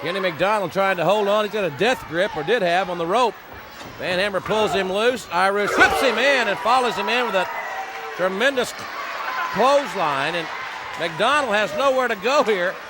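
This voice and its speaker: A man's voice: high voice, moderate pace, noisy background. An American man giving emphatic play-by-play as host of a televised wrestling show. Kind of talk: live play-by-play sports commentary. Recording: old TV broadcast with music and crowd behind. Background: music and arena crowd.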